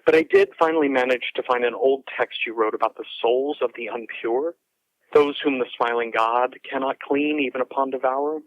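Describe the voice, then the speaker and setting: A muffled telephone message carries an anxious man of medium pitch. He is an earnest researcher, apologetic and hesitant, speaking urgently in an audio drama. The sound is close and dry, with the narrowed tone of a voicemail. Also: fairly even intonation, normal speed, no room sound. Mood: earnest, worried. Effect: phone voicemail filter.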